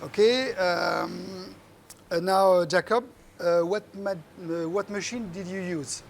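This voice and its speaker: A man's voice: high voice, takes it slowly, gravelly, slight background noise. A man presenting a live event off the cuff and asking questions.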